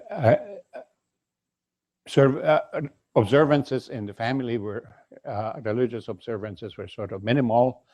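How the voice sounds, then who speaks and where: An older man from Yugoslavia recalling his childhood in an interview, speaking slowly with many pauses and fillers. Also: lowish voice, darkish tone, dry recording. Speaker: Holocaust survivor guest. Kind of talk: interview, personal recollection.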